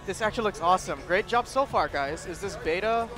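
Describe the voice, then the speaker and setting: A man's voice: high voice, expressive, brisk. A man co-hosting a livestream, chatting in an informal, conversational way. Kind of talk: casual chat on a livestream. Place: gaming event venue. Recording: music behind the voice. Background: music.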